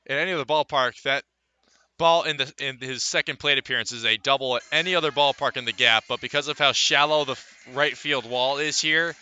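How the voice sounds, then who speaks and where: Bright, forceful male baseball announcer. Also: mid-range voice, a little fast. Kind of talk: live radio sports commentary.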